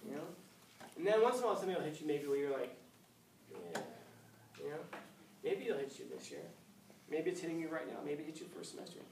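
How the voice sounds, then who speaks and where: A man in his fifties, a high school teacher lecturing a class, acting out scenes with playful voices. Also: sing-song, quick pace, pauses often, background noise. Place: classroom, indoors. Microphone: far camera. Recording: echoey classroom from far off.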